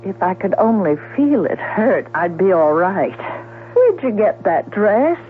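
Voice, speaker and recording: An actress playing a bitter, needling, plain-spoken invalid sister in a radio drama. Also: female voice, mid-range voice, sing-song, unhurried, phone-quality sound, a little hiss or hum. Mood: sullen. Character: bitter, caustic, dependent invalid sister, no longer young. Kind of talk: 1970s radio drama acting. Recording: thin, humming 1970s radio recording.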